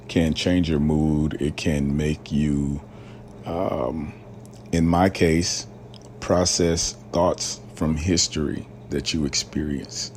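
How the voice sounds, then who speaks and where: A man's voice: a bass voice, a little mellow, slight background noise. A man from rural Alabama hosting a casual solo podcast, talking loosely with lots of fillers and slang. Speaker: podcast host.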